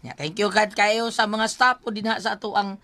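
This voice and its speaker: A woman's voice: mid-range voice, fairly even intonation, moderate pace, heavy background noise. A woman with a Cebuano accent hosting a radio program, chattily giving effusive thanks, greetings and product plugs.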